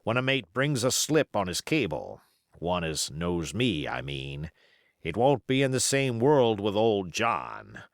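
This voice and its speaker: A man's voice: fairly low pitch, dry recording, studio quality, heavily acted. A man voicing a sly, boastful, commanding pirate cook in an audiobook, with emphatic rustic seafaring talk. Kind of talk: audiobook character voice.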